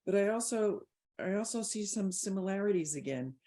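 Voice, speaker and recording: A woman's voice: mid-range voice, expressive, a little fast, warm tone. A woman hosting a podcast, giving articulate, emphatic conversational commentary and posing rhetorical questions. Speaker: podcast host.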